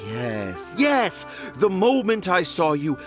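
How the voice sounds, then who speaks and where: Game character: pompous, dreamy male children's author, theatrical delivery, lighter high register, very animated. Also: slow pace, music in the background, heavily acted. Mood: excited, grandiose. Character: dramatic, self-important male children's storyteller with lofty dreams. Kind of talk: video game voice acting.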